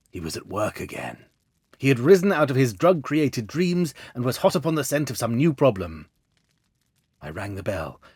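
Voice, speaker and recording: Male audiobook narrator: measured, literary, mid-pitched with animated melody, very dry sound. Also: dry recording. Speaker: storyteller.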